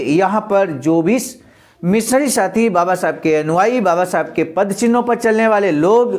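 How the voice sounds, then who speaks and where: A presenter reads a script formally, pausing to explain it and address listeners. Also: mid-range voice. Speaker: presenter of a daily speech-reading programme. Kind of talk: scripted reading with spoken commentary.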